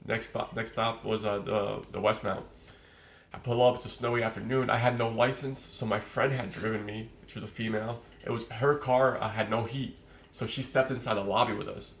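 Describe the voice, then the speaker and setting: A man's voice: lowish voice, steady tone, brisk. A man in his twenties from Poland, a podcast guest telling his life story in a loose, slangy way. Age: 20s. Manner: slangy, loose storyteller, fillers like "you know". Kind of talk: casual chat, storytelling.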